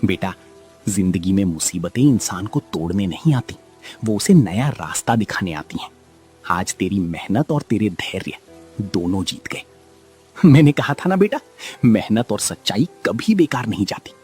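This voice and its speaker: Cartoon role: man voicing an elderly father, preachy and proud, lively low voice. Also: normal speed, husky, faint music behind. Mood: proud, advising. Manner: advising, preachy.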